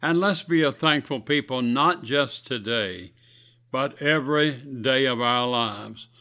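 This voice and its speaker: A man's voice: medium pitch, slow pace, bright, forceful tone. A man with a scratchy voice, preaching earnestly on a radio sermon broadcast in a folksy, exhorting way.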